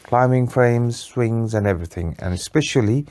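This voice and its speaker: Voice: medium pitch, normal speed, a little mellow, slight background noise. An adult telling an improvised bedtime story to a child, patiently and in simple phrases.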